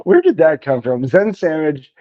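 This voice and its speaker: A man's voice: a little high, huge pitch swings, moderate pace, no room sound, highs cut off. A male podcast host who chats enthusiastically and laughs often. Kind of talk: casual interview chat.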